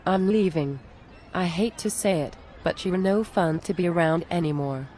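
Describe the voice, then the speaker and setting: A woman's voice: on the low side, moderate pace, warm tone, a little hiss or hum, natural voice. A woman playing an exasperated, plain-spoken friend who pleads earnestly and reproachfully in scripted cartoon dialogue. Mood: disappointed, resigned.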